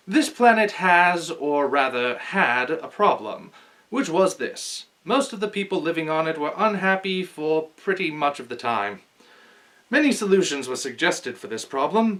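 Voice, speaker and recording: A man hosting and reading aloud in an expressive, theatrical, articulate way, as if to his viewers. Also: a little high. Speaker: vlog host reading aloud from a novel. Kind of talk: book read-aloud with casual address to viewers.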